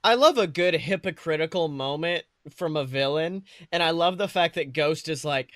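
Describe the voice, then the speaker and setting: A man co-hosting a casual movie review chat, talking in an excitable, slangy way with casual swearing. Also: on the high side, normal speed, dry recording, clean studio sound. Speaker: podcast co-host.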